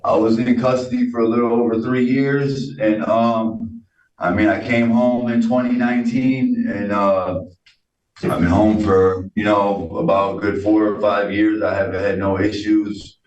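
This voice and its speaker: A man, a defendant answering a judge in a hesitant, halting way full of restarts. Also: on the low side, little pitch movement, a little mellow. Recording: roomy, distant and dull.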